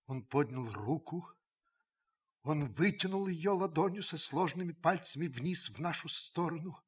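An actor playing a solemn witness who narrates a radio drama, deliberate and intense with dramatic pauses and a husky voice. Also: male voice, high-pitched, sharp tone, heavily acted. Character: solemn male witness narrating with mounting tension.

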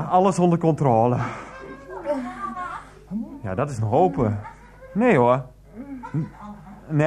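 An actor playing a flustered, evasive man in a 1990s radio drama, stammering and placating. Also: on the high side. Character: flustered, evasive man, Freek, juggling his household.

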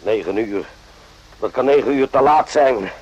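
An actor in a radio play voicing a gruff, irritable army sergeant with a husky voice and a curt, sneering way of talking. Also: male voice, medium pitch, lively intonation, a little slow, a little mellow, slight background noise, close to an everyday voice, low-quality recording. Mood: gruff, sardonic.

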